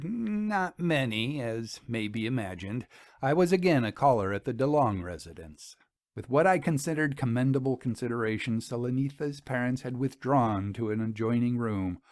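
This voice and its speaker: A man's voice: mid-range voice, a little mellow, no room sound, studio quality. A man reading a story aloud in an earnest, formal and theatrical way. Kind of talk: dramatic scripted story narration.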